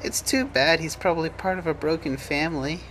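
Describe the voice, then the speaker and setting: A tender, cooing young woman from an audio story, acted by a male reader speaks far up in pitch. She gushes with sympathy. The sound is bright with some noise behind it. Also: a little fast, close to an everyday voice. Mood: pitying. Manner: gushing, tender.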